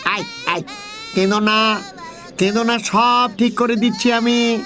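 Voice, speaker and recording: A woman voicing a pompous, mock-sorrowful crocodile lawyer in a deliberate, speechifying storybook manner. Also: on the high side, steady tone, background noise. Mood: consoling.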